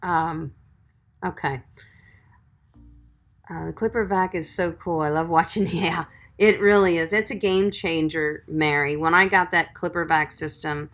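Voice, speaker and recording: A woman's voice: on the low side, fairly even intonation, a little slow, fairly bright tone. A woman dog groomer chatting on a livestream, reading viewer comments and giving friendly, encouraging advice. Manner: chatty, encouraging, calls viewers sweetie and guys.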